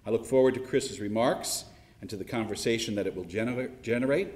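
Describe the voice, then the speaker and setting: A man's voice: fairly low pitch, brisk, darkish tone. A man giving formal welcoming remarks at a university lecture, earnest, with small slips and restarts. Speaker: university official opening the event.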